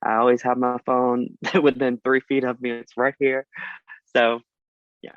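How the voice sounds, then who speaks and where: Guest read: man in his twenties, from Alabama, easygoing and halting, mid pitch, dry and slightly dull recording. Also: no room sound. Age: 20s. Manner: relaxed, halting, many fillers and restarts. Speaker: dental student guest. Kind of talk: casual interview chat.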